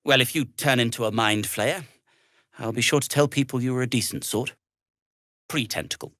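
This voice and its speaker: An actor playing an earnest, fussy, melancholy gnome inventor in a video game, with a hesitant, wordy and old-fashioned polite way of talking. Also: male voice, mid-range voice, moderate pace, a bit bright, no room sound, clean studio sound, natural voice. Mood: wry, polite. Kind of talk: video game character dialogue.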